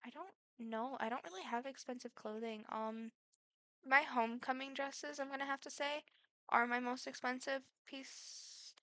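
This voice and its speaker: A teenage girl with a Midwestern accent, talking through a casual question-and-answer vlog. Her voice is bright and a bit high, and she rambles along with lots of fillers. The sound is close and dry. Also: fairly even intonation, normal speed, no echo. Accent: Midwestern American. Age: teens. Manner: chatty, rambling, full of fillers. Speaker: vlogger. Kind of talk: casual get-to-know-me Q&A.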